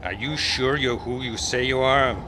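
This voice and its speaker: A man's voice: mid-range voice, fairly even intonation, normal speed, noisy background, natural voice. A man playing a watchful, lonely Old West marshal in an old-time radio western parody. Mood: commanding, puzzled. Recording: club stage performance with faint music.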